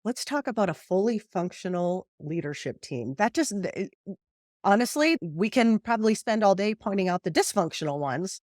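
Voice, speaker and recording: A woman's voice: medium pitch, expressive, normal speed, a little mellow, dry recording, studio quality. A woman hosting a podcast interview, upbeat and welcoming as she asks guiding questions. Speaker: podcast host. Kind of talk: conversational interview.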